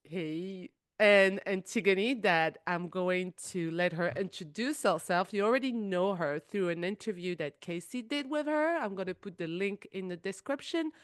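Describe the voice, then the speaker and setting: A woman's voice: mid-range voice, moderate pace, hardly any pauses, smooth, no echo, studio quality. A woman co-hosting a podcast, chatting in an effusive way with frequent restarts. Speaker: podcast co-host. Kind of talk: casual chat.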